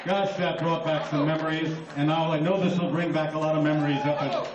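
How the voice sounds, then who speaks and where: A man's voice: fairly high pitch, flat delivery, fairly quick. An older man announcing and reminiscing enthusiastically as emcee of a live concert. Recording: echoey live hall recording from far off. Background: audience crowd.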